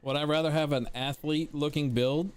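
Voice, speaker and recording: A man's voice: on the high side, steady tone, moderate pace, smooth. Rambling forty-year-old male streamer. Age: 40s. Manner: rambling, repeats himself, chats with viewers. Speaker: game streamer. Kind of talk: casual live-stream chat over gameplay.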